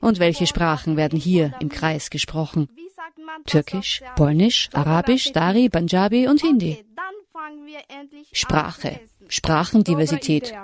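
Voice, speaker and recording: A woman narrating a radio feature in a reflective, questioning, measured style. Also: deep voice. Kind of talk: scripted documentary narration.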